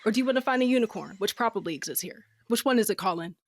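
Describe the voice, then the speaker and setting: A woman's voice: mid-range voice, lively intonation, rapid-fire, slight background noise, close to an everyday voice. A woman playing a decisive, sardonic wife on a fantasy quest in an audio drama. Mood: exasperated, sarcastic.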